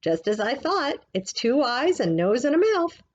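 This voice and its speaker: A woman's voice: sits high, very animated, a little slow, dull audio, pitched above the performer's own voice, exaggerated voice. A woman voicing an eccentric old woman who is nosy, playful and a little wicked, in a storybook reading. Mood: smug, gleeful.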